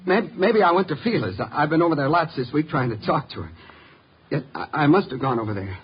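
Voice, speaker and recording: Husky, desperate drunk. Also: male voice, a little high, lively intonation, brisk. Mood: desperate, pleading. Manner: stammering, agitated. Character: drunk, desperate husband accused of murder, male. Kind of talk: 1950s radio drama acting. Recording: muffled 1950s radio recording.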